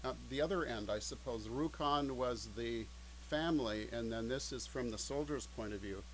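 An older man informally introducing a piece of music in a halting, hesitant way, as a musician at home. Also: mid-range voice, poor audio. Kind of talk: informal spoken introduction to a song. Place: indoors, cluttered home study. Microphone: video call, mic at a short distance. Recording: video call with background hum.

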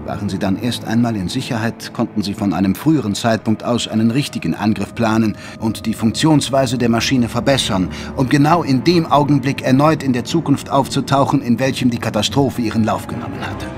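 A male narrator tells a dramatic story in scripted prose. His voice sits at a middle pitch, with music clearly playing behind it. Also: brisk, hardly any pauses.

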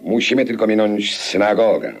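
An actor playing a sly policeman who poses as a shady professor, gravelly and husky, in a theatrical, grotesque radio play manner. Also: lowish voice, moderate pace, soft background music, close to an everyday voice. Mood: sly, measured.

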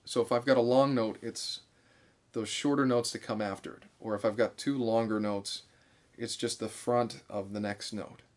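A man's voice: on the low side, steady tone, normal speed. Man in his thirties explaining music. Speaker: musician giving a music lesson. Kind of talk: instructional talk to camera. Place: small bare room indoors.

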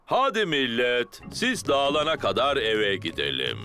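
Jolly cartoon dad pig, deep voice, swoops up and down, music under it. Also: male voice, huge pitch swings, measured pace. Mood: confident. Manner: hearty, self-assured. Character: jolly, confident father pig. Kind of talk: dubbed children's cartoon acting. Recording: loud music mixed underneath.

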